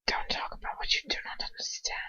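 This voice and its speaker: A woman's voice: quick pace, bright, forceful tone. Woman putting on a sinister, hissing aristocratic man. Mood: cold anger. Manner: hissed whisper, savoring words with menace. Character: sinister adult man, cruel, gloating, cold aristocratic captor. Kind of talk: amateur fan fiction audiobook acting. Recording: muffled roomy home recording.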